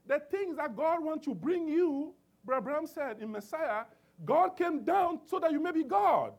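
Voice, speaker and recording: A man's voice: extremely high pitch, lively intonation, moderate pace, creaky. A man preaching a sermon, calling out for amens and working through scripture with many restarts and fillers. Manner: emphatic call-and-response preaching with many restarts.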